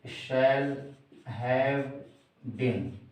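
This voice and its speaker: A man with an Indian accent, a native Hindi speaker, teaching grammar in a deliberate, emphatic way and addressing his listeners directly. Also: mid-range voice, a little mellow. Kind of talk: instructional grammar lesson to camera. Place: echoey room indoors. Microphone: distant microphone. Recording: very echoey room, distant mic.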